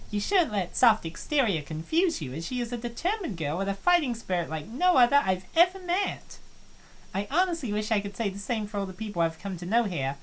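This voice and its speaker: A bashful young college girl with an obsessive crush, voiced by a woman for a visual novel. Her voice sits high and swings widely, and she confides each line earnestly. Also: a bit bright, poor audio. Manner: earnest, confessional reading.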